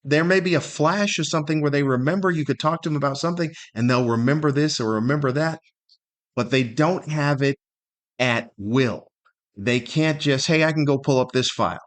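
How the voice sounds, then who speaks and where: A middle-aged man giving a candid, reflective solo podcast monologue in a conversational, thoughtful way, pausing to search for words and repeating himself. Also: on the high side. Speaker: podcast host, stand-up comedian.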